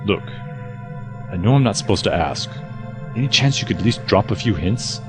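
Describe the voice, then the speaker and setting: Man acting a deep-voiced, grumbling worker. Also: expressive, moderate pace, music in the background, close to an everyday voice. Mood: uneasy. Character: grumbling, anxious male supply worker helping rebels. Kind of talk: audio drama acting.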